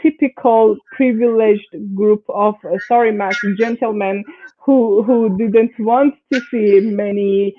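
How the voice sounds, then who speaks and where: A woman's voice: medium pitch, steady tone, slow, a little hiss or hum. A woman from Iran speaking as a panelist in an online discussion, hesitant with many fillers and restarts. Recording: tinny phone-like call audio.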